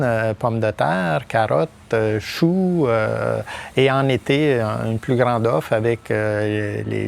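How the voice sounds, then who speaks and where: A middle-aged man from Quebec with a Québécois accent, talking as a podcast guest, halting often with fillers and restarts. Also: medium pitch, creaky. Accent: Québécois French. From Quebec, Témiscamingue. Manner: hesitant, many fillers and restarts. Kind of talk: podcast interview.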